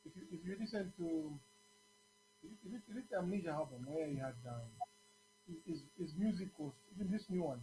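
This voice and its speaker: Man, Zambian accent, high-pitched voice, radio host, casual interview chat, repeats words, faint electrical hum. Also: expressive, brisk.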